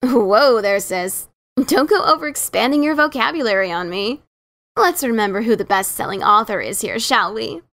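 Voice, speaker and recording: A brash pony adventurer acted by a woman with a scratchy, gravelly edge. She talks in a cocky, informal, teasing way for a dramatic story reading. Her fairly high pitch swings around freely. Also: expressive, moderate pace, a bit bright. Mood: mocking.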